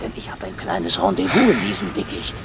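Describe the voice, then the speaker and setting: A man's voice: mid-range voice, big pitch swings, brisk, vocal fry, noisy background. A man playing a hardboiled, cocky Soviet secret police investigator in a radio crime drama, with a sardonic, menacing, taunting manner. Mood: taunting menace. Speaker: lead actor and first-person narrator.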